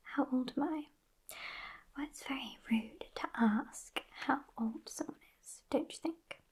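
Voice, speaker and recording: A woman in her twenties with a British accent playing a sassy, haughty witch in a breathy, unhurried ASMR whisper, close to the microphone. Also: sits high, a little mellow. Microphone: close microphone just off camera.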